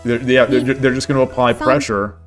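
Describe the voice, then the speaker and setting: Male streamer, casual thinking-aloud commentary, mid-range voice, soft music bed. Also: steady tone, a little fast. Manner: thinking aloud, repeats and restarts, low-key. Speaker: streamer playing online shogi.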